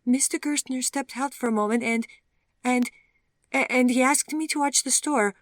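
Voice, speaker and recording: A woman's voice: high voice, normal speed, no echo, clean studio sound, voice pushed up, natural voice. Woman voicing a flustered timid young woman. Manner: nervous, stammering.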